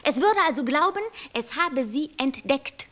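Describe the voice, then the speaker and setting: An actress playing a stern, cerebral angel and creator in a radio play, speaking with precise, lecturing authority. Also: female voice, high-pitched, animated, measured pace, fairly bright tone. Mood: analytical.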